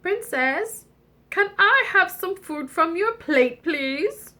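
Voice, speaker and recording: A woman's voice: extremely high pitch, animated, slow pace, sharp tone, voice pushed up, full character voice. A woman voicing a needy little frog in a storybook, pleading in a sing-song way.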